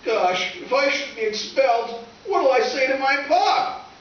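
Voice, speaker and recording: A man's voice: very high voice, animated, a little slow, fairly bright tone, dull audio, full character voice. A man playing a scheming, melodramatic teenage schoolboy with slangy, whiny delivery in old-time radio comedy style. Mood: panicked pleading. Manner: whiny, exclamatory, theatrical pleading. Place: live stage performance in a reverberant room. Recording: echoey distant stage recording with hiss.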